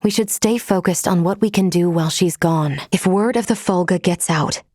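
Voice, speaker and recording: A woman voicing a composed, dutiful android crew member in a video game, speaking in a measured, formal, precise way. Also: fairly low pitch, a little mellow, no room sound, studio quality, natural voice. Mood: calm, measured. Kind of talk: scripted video game character lines.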